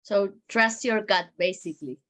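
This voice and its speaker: A woman's voice: mid-range voice, moderate pace, fairly bright tone. A woman hosting an interview, asking questions in a conversational style. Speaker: interviewer, host.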